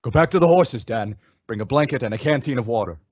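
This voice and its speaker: A man playing a brave, steady masked cowboy hero in a radio western, commanding and reassuring. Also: medium pitch, quick pace, no echo, lo-fi sound, natural voice. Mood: steady, concerned. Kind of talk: old-time radio western acting.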